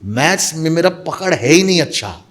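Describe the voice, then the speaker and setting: Animated Mumbai man telling stories. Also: a little high, normal speed. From Mumbai, India. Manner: animated storyteller mixing Hindi and English. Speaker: guest, actor and voice-over artist. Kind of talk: casual interview storytelling.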